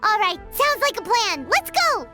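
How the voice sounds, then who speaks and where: A woman voicing a tiny, cheeky, childlike female fairy in a video game, squeaky and blunt, with a perky, indignant manner. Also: sing-song, unhurried, full character voice. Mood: urgent, perky. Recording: game music playing behind.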